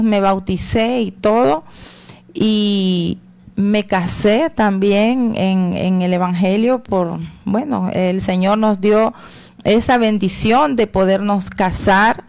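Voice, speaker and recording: Una mujer con acento salvadoreño da su testimonio personal de fe con calma, pausando con muletillas como "verdad" y "pues". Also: female voice, medium pitch, clean tone. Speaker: guest giving testimony.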